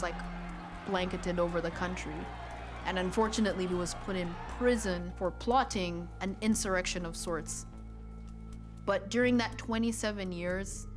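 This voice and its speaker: A young woman from Uganda, speaking earnestly and reflectively in a documentary interview. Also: mid-range voice. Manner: earnest, reflective, some hesitant restarts. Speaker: student interviewee. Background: music.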